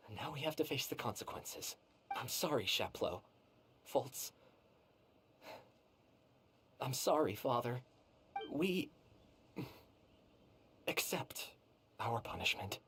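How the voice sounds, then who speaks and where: A woman's voice: very deep voice, lively intonation, takes it slowly, long pauses, slight background noise. A woman voicing a resigned girl who admits fault and accepts punishment in game dialogue. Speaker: character in a game cutscene.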